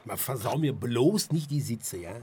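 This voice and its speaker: A man's voice: medium pitch, expressive, normal speed, dark tone, a little hiss or hum, lightly acted. A man playing a showy working-class chauffeur in a radio drama, talking colloquially and in exclamations. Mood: boastful, excited. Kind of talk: German radio drama acting.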